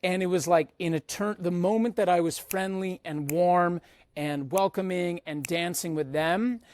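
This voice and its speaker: Man, fairly high voice, podcast host, informal slangy storytelling, dry close sound. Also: measured pace, a little mellow, no room sound. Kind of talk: casual personal travel storytelling.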